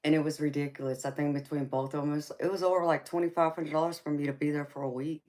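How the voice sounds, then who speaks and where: A woman's voice: sits low, flat delivery, quick pace, darkish tone. A woman with a Southern accent hosting a live tarot stream, chatting casually with her viewers. She laughs often and calls people baby.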